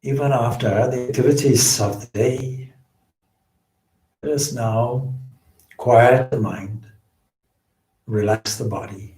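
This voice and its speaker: A man leading a guided meditation as a swami, with measured, solemn phrasing and frequent pauses. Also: mid-range voice, steady tone, mellow. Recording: roomy, echoing indoor sound.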